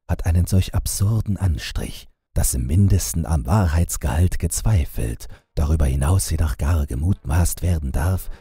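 A man narrating a horror audiobook in a slow, measured, ornate storytelling manner. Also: rumbling low, gravelly, warm tone, breathy edge.

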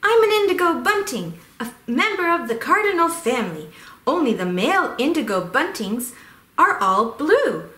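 A woman's voice: sits high, very animated, normal speed, pushed and bright, exaggerated voice. A woman voicing a cheerful male songbird in an educational children's puppet show, chirpy and explanatory. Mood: cheerful, informative. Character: cheerful male indigo bunting bird, proud father-to-be. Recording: roomy echo.

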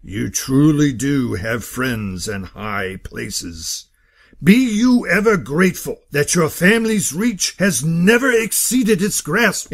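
A man's voice: high-pitched, fairly bright tone, heavily acted. A man playing a stern, pompous court-martial judge in an audio drama, speaking in formal, declamatory oratory. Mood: grave, scornful.

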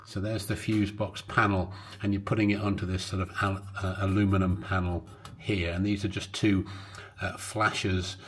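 Low-voiced middle-aged man explaining a project. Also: level tone, normal speed, husky. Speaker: host of a DIY car-building vlog. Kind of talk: casual instructional talk to camera. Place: home garage. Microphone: clip-on lapel mic.

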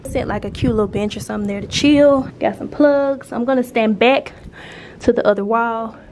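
High-voiced young American woman vlogging. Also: moderate pace. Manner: playful, animated, colloquial. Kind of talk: casual chatty vlog talk to camera. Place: house, car and restaurant booth. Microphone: handheld camera at arm's length. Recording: noisy handheld camera audio.

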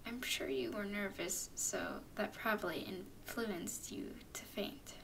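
A woman playing a tender, doting vampire girlfriend in a soothing ASMR roleplay, mostly in breathy whispers. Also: medium pitch, fairly bright tone, far from the mic, low-quality recording. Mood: caring, proud. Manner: soothing, affectionate, unhurried. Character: tender, doting female vampire girlfriend comforting her nervous partner.

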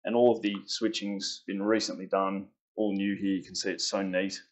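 A young man with an Australian accent and a somewhat low voice talks easily through a tour of his boat's cabins. He keeps a casual, unhurried flow as he points things out, the sound carrying the close feel of small wooden rooms. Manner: relaxed, chatty, pointing out features as he walks. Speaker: boat owner giving a tour. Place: inside a timber boat's cabins.

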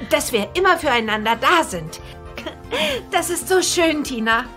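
A bubbly, affectionate young girl from a children's audio drama. Her voice sits high and bright and leaps up and down. Soft music runs under it. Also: expressive, moderate pace. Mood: sweet.

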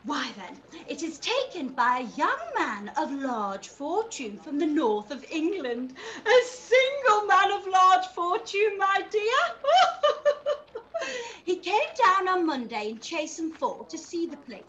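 A woman playing an excitable, fretful middle-aged mother in a period-drama live read, with an overwrought, exclaiming delivery. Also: very high voice, slow, exaggerated voice. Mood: gleeful. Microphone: video call. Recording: faintly noisy video-call audio.

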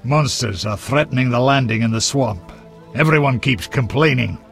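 An actor playing a blunt, crude, hearty dwarf in a fantasy game, talking in an earthy way. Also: male voice, mid-range voice, normal speed, creaky, soft background music, close to an everyday voice. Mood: matter-of-fact.

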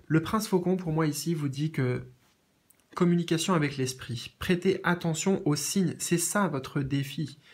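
A man with a cold and a sore throat giving a tarot reading in a gentle, repetitive way with many fillers. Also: a little high, a little slow. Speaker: tarot reader. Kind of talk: tarot reading, reading out card meanings. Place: indoors at a card table.

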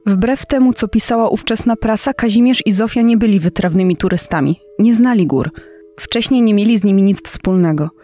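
A woman's voice: medium pitch, a little fast, mellow. A woman reading a quoted testimony in a scripted style. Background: music under the voice.

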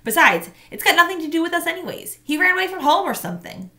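A woman's voice: extremely high pitch, big pitch swings, fast, bright, forceful tone, pitched above the performer's own voice, exaggerated voice. A woman reading aloud, voicing a stubborn schoolboy who talks curtly and defensively. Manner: curt, snapping, defensive.

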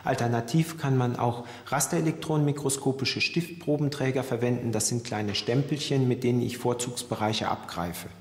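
A man's voice: medium pitch, normal speed, hardly any pauses, darkish tone, slight background noise. A male forensic expert with speech explains technical matters in formal, precise wording. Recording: echoey room with long reverb.

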